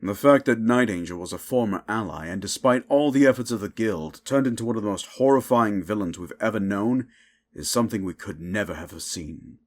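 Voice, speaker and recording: A man playing a dignified superhero guild leader in an audio drama interview, speaking in a grave, measured, official way. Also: lowish voice, natural voice. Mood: grave, formal.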